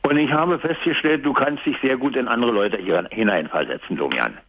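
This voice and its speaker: An older man, a great-grandfather, calling a radio show and speaking haltingly and sincerely, often searching for words. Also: medium pitch, narrow, tinny audio. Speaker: caller. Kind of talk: phone-in conversation.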